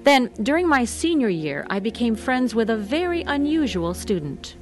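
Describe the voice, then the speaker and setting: A woman's voice: fairly high pitch, very animated, moderate pace, faint music behind. An actress playing a grown woman recalling her own spiritual search in a radio drama, thoughtful and reflective. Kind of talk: radio drama narration and acting.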